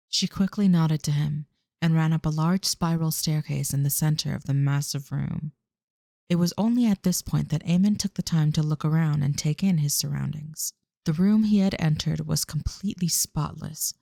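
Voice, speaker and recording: Guy narrating a fantasy audio drama, soft dark tone with lots of fry, really dry recording. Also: fairly high pitch, dry recording.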